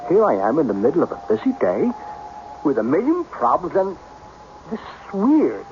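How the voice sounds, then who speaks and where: Brusque, animated rich businessman. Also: on the high side, normal speed, faint music behind. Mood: exasperated, incredulous. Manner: curt, imperious, baffled. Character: brusque, impatient, exasperated wealthy businessman. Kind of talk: radio mystery acting. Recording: dull, noisy old radio recording.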